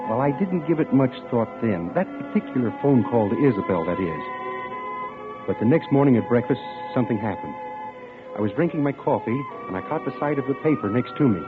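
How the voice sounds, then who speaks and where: A man's voice: mid-range voice, lively intonation, a little fast, natural voice. An actor playing a man narrating his own story in a 1940s radio drama, with a wry manner. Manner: wry first-person storytelling. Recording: old lo-fi radio recording with music.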